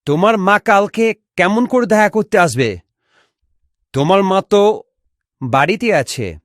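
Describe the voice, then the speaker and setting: A performer voicing a worried, soft-hearted village father in a cartoon, with a puzzled way of talking. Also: medium pitch, lively intonation, unhurried, no echo, clean studio sound, close to an everyday voice. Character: worried, fond village father, adult man. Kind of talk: Bengali cartoon voice acting.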